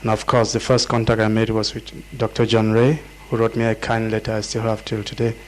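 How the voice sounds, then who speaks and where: A man's voice: lowish voice, completely flat delivery, brisk, slight background noise. A man from Cameroon with a Cameroonian accent, formally introducing himself and thanking his teachers. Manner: formal, grateful. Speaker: seminar attendee.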